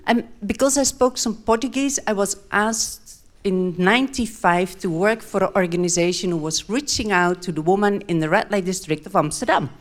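Woman, Dutch accent, middle-aged, earnest, short phrases with pauses. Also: mid-range voice. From Netherlands. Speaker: speaker. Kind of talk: talk in English with live interpretation.

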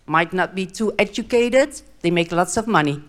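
A woman's voice: on the low side, animated. Dutch woman speaking earnest English. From Netherlands. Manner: earnest, pauses often between short phrases. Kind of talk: talk in English with live interpretation.

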